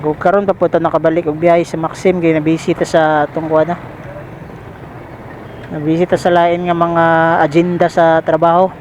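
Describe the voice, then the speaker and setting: A man's voice: a little high, level tone, moderate pace, highs cut off. A Filipino man with a Bisaya accent chatting casually and informally to viewers as he rides, recorded on a helmet intercom. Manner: informal, repeats phrases, addresses viewers as pals. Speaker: motorcycle delivery rider vlogger. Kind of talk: casual vlog chat while riding. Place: outdoors riding a motorcycle in traffic. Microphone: helmet intercom microphone. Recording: helmet intercom with loud traffic noise.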